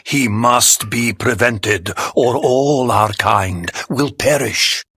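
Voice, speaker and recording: An actor playing an ancient, grave and wise otherworldly sage in a dramatic video game, speaking formally and portentously. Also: male voice, mid-range voice, takes it slowly, sharp tone, husky, exaggerated voice. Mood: grave, urgent.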